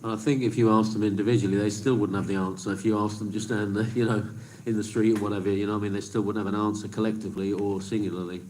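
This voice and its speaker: A man in a casual group discussion, speaking in a steady stream peppered with "you know what I mean". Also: lowish voice, very fast, hardly any pauses, a little hiss or hum, poor audio.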